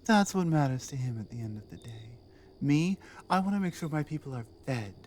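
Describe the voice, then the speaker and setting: A woman playing a proud, battle-hardened orc queen in a scripted ASMR roleplay, speaking deliberately with commanding, pointed rhetorical phrasing. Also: rumbling low, expressive, darkish tone, a little hiss or hum. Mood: earnest, persuasive.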